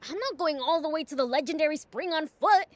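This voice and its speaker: Woman as a high-voiced, cocky demon boy. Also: a little fast, lightly acted. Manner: brash, punchy, boyish. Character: cocky, eager young male demon prince. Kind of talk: video game cutscene acting.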